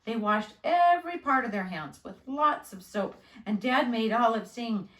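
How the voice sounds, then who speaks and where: A woman narrates a children's storybook aloud as a school nurse would at story time. Her voice is on the high side, in a roomy space with plenty of echo. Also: animated, measured pace, a bit bright. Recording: roomy, echoing indoor sound.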